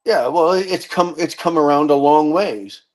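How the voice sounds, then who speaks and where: A man's voice: fairly high pitch, moderate pace. A middle-aged man in casual chat who rambles, swears and restarts his sentences. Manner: rambling, profane, trails off and restarts.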